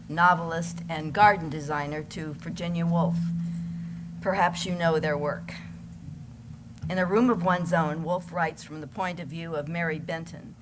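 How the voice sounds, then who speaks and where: A woman reading scripted introductions to literary readings for an audience. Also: low voice. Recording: noisy live event recording.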